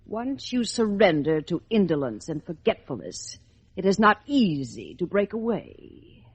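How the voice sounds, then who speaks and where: An actress playing a mocking, imperious sorceress who gloats theatrically in an old-time radio drama. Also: female voice, fairly low pitch, big pitch swings, measured pace, slightly rough, no room sound, full character voice. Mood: gloating, mocking. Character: sinister sorceress Lady Coralie, mocking and imperious. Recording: muffled old radio recording.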